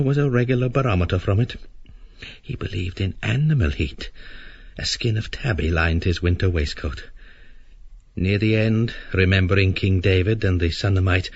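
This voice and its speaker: A man playing a meek, submissive middle-aged character in an audiobook drama, speaking in florid, pompously wordy, halting phrases. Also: fairly low pitch, a little mellow, husky, no room sound, muffled recording.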